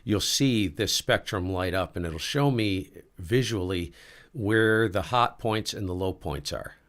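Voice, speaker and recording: A man with a very low voice running a casual live ham radio demo, talking to himself as he adjusts settings. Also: a little slow. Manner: relaxed, talks to himself while adjusting gear. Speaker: ham radio livestream host.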